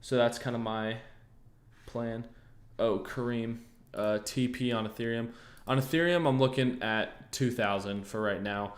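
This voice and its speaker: A young American man hosting a casual livestream, easygoing and thinking aloud, often pausing on fillers and restarting his sentences. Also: mid-range voice. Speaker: trading livestream host. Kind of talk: casual livestream chat answering viewers.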